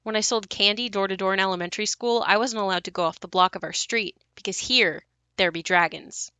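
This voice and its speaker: An actress plays a young woman narrating memories of a haunted house in an audio drama. Her voice is clear-edged and bright, recorded close and dry. She confides in a matter-of-fact, wry and reflective way. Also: mid-range voice, a little fast, no room sound, natural voice. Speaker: narrator and lead of an audio play. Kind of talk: first-person dramatic monologue.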